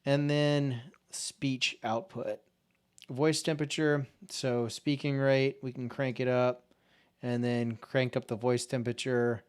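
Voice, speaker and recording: A man explains a software tool in a loose, conversational tutorial. His voice is smooth and clear at a mid pitch. He talks informally and thinks aloud as he goes. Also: little pitch movement, slow. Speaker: tech tutorial host. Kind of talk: casual screen-share software walkthrough.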